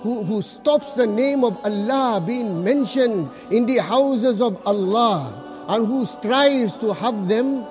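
A man giving a Friday sermon as imam, preaching earnestly with rapid recited quotations and repeated phrases for emphasis. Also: extremely high pitch, animated, over music.

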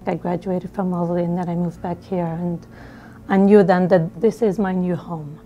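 A woman's voice: lowish voice, level tone, normal speed, highs cut off. A middle-aged woman from Liberia, recounting her life story in a seated studio interview with a conversational manner and frequent fillers. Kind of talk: personal storytelling. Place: studio with dark backdrop.

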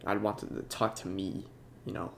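A young man with a congested voice, talking in a halting, soft-spoken way with many fillers over game footage. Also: fairly low pitch, little pitch movement, vocal fry, a little hiss or hum. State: congested, sniffling. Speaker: YouTuber. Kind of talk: casual talk over gameplay.

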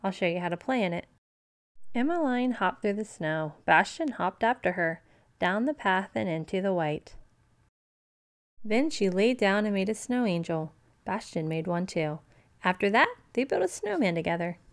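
A woman narrating a children's storybook in an expressive, scripted read-aloud style. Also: medium pitch, moderate pace.

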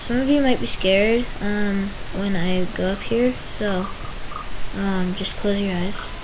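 Slow-talking young woman, hissy recording. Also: medium pitch. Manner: rambling, giggly, self-interrupting. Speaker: Minecraft video host. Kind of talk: casual gameplay tour commentary.

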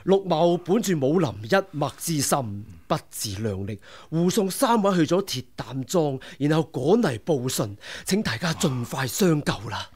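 A polite old martial arts master. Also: male voice, a little high, sing-song, a little slow, hardly any pauses, a little mellow, breathy edge, heavily acted. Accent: Hong Kong Cantonese. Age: older. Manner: humble, polite, speaks in old formal phrases. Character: elderly male martial arts master, courteous and urgent. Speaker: radio drama actor. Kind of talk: 1990s radio drama acting.

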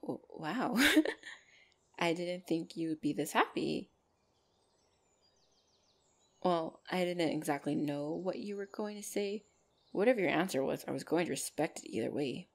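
A woman's voice: on the low side, normal speed, creaky, darkish tone. A woman playing a loving, bashful and protective orc girlfriend in an intimate ASMR roleplay, speaking tenderly and hesitantly. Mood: relieved, happy, teasing. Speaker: voice actor in audio roleplay.

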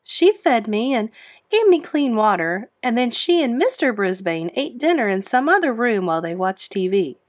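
A woman narrating a children's book aloud in an expressive storybook style. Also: fairly high pitch, moderate pace.

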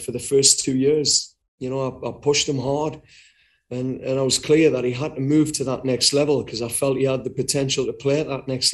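A middle-aged man answering as head coach in a post-match press conference, talking frankly and often repeating words while he thinks, over a video call. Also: mid-range voice. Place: indoors before a sponsor backdrop.

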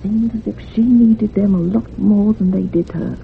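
An actress playing a troubled, gossipy older housekeeper in a radio thriller, rambling dramatically and sometimes dropping to a hush. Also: female voice, mid-range voice, steady tone, moderate pace, creaky. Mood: confiding, gossipy. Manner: rambling, dramatic, hushed at moments. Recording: muffled phone-like radio recording with hiss.

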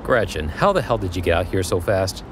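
A man's voice: sits low, sing-song, brisk. A man playing a weary, guarded police detective in an audio drama, speaking in an official way. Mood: wary. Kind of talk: naturalistic audio drama acting. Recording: noisy outdoor street ambience behind.

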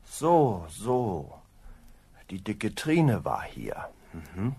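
An actor playing a lazy, slow-witted young farm lad in a children's fairy-tale radio play, musing in sluggish, self-satisfied hums. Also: male voice, fairly low pitch, sing-song, dark tone, husky, heavily acted. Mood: grumbling, musing.

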